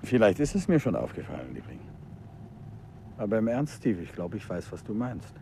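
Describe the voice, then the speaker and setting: Cool, dry-witted detective in a vintage radio play, mellow low voice, lively pitch, faint hiss. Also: male voice, normal speed, creaky. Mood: knowing. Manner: dry, understated, teasing. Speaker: detective husband. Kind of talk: 1950s radio play acting.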